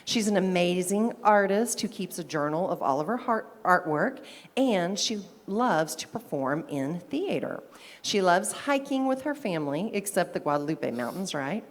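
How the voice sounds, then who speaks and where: A woman's voice: fairly low pitch, lively intonation, moderate pace. Upbeat woman presenting a student award. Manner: upbeat, chatty, warmly enthusiastic. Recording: reverberant meeting hall.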